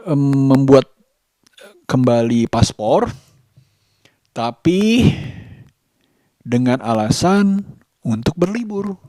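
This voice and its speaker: A man talking casually as a vlogger, halting and deliberate, repeating phrases for emphasis. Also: on the high side, warm tone, no room sound, studio quality. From Indonesia. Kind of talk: casual vlog monologue.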